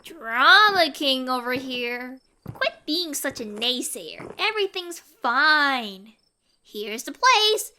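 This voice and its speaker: A woman's voice: high voice, very animated, sharp tone, exaggerated voice. A woman voicing a cranky, violent, sarcastic young girl adventurer in a theatrical comic dub, drawing out her complaints. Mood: dismissive, sarcastic. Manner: sardonic, theatrical, drawn-out complaining. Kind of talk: comic fan-dub voice acting.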